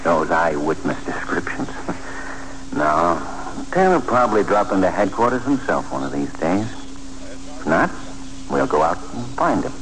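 Casting: wry, folksy police detective, deep and animated, vintage radio drama with faint hum. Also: male voice, a little slow, full character voice. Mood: wry, knowing. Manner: slangy, wry, clipped phrasing. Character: folksy, slangy male police detective, shrewd and unhurried. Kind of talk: 1940s radio acting. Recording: humming, noisy 1940s radio recording.

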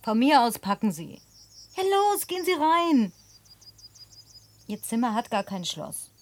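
A woman's voice: fairly high pitch, big pitch swings, unhurried. A woman acting in a German radio play as a headstrong, challenging companion who is direct and probing. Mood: defiant. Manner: direct, probing, formally addressing her companion. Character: headstrong, challenging woman sharing a home with a drifter.

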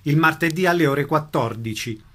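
A man's voice: fairly high pitch, moderate pace, bright, forceful tone. A man reading a scripted radio programme announcement. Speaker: station announcer.

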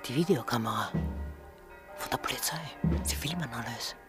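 An older female relative in a radio drama, hushed and secretive. The voice is very low and often whispered, under loud music. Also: moderate pace. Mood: hushed, wary. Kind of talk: German radio drama acting.

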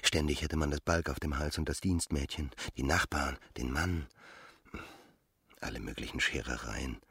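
Very deep, gravelly cynical seducer. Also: male voice, moderate pace, a bit bright, no room sound, clean studio sound, heavily acted. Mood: musing, calculating. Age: 30s. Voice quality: gravelly, husky. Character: cynical, calculating womanizer of thirty-four, musing on seduction. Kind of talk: literary radio play monologue.

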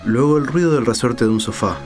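A man's voice: medium pitch, fairly quick, darkish tone, faint music behind. A man narrating a suspense story in a dramatic literary style.

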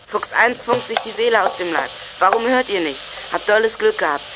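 An actor playing an eager young scout of a detective gang, reporting briskly in a German children's radio play. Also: medium pitch, lively intonation, noisy background, lightly acted. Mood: urgent. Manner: brisk, reporting over walkie-talkie.

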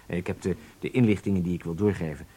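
An actor playing a calculating German undercover spy in a Dutch radio drama, explaining things deliberately and intimately to his partner. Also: low voice, dark tone, husky. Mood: firm, secretive.

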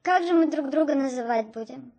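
High-voiced naive unborn baby girl. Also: a little fast, warm tone. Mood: curious. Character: unborn baby girl, naive, curious, gentle, five weeks old. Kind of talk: radio play acting. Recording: studio radio play with music behind.

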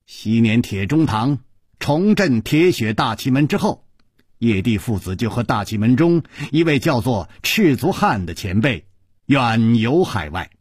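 A man's voice: medium pitch, sing-song, slightly rough, no echo, studio quality. A man voicing a proud young woman disciple in a spoken story, explaining steadily and sneering. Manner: measured, then cold sneering.

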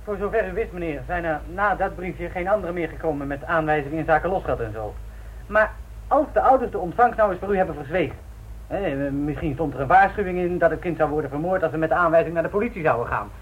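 A man's voice: fairly high pitch, expressive, fast talker, lightly acted. An actor playing a respectful young male police trainee in a Dutch radio play, deferential and tentative. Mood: earnest, tentative. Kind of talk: Dutch radio drama acting. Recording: dull, noisy old radio recording.

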